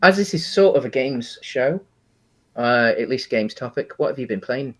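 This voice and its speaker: A British man with a medium-pitched voice talks as a podcast co-host. His delivery is casual and conversational, with light-hearted banter, as in an informal chat about games and films. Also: animated, moderate pace, a bit bright.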